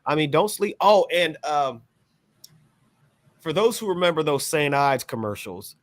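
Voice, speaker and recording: A man's voice: a little high, animated, measured pace, noisy background. An American man chatting casually as a panelist on a music podcast. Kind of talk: casual conversation, agreeing and reminiscing.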